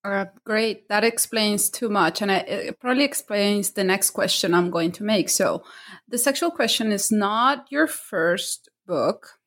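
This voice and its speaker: A woman's voice: mid-range voice, a little slow, a bit bright. A woman hosting an interview podcast who reads a formal introduction and asks long, enthusiastic questions.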